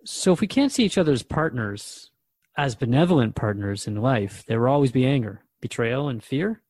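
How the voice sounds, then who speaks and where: A man's voice: medium pitch, lively intonation, normal speed, darkish tone, dry recording. A man acting as a radio host in a drama, asking questions in an earnest, interviewing manner.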